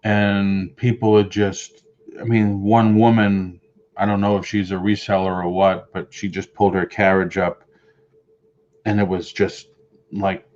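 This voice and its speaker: A man's voice: low-pitched, flat delivery, a little slow, a little mellow, dull audio. Chatty Boston-accented man on a stream. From Boston area. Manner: rambling, chatty, reads and answers viewer comments. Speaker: live stream host. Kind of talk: casual live-stream chat. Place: home room with shelves of collectibles.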